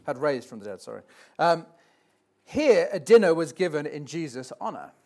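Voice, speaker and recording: Man, slightly high voice, hesitant, self-deprecating, church sermon, bible reading. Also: very animated, normal speed, a little mellow. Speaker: stand-in preacher. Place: church platform before a congregation.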